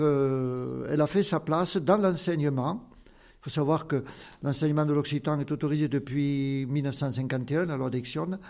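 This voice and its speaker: An older man speaks with a strong southern Occitan accent as a guest in an interview. His voice sits a little high yet sounds mellow and soft-edged. He explains things earnestly and fills his pauses with hesitations. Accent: Occitan southern French. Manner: earnest, explanatory, with many fillers. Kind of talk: interview answers.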